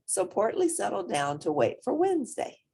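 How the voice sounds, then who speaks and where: A woman reading a children's story aloud in an expressive, dramatic, emphatic way. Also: medium pitch, moderate pace, creaky, breathy edge. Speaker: storybook reader.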